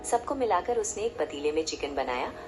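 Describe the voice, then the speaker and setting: Low-pitched woman narrating over music. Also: sing-song, a little fast. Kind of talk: scripted storybook narration. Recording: music behind the voice. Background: loud background music.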